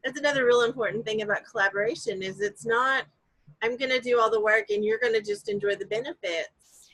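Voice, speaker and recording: A woman's voice: fairly high pitch, expressive, fairly quick, a bit bright, dry recording, poor audio. A woman guest explaining her ideas emphatically in a casual interview, with examples. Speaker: guest course creator.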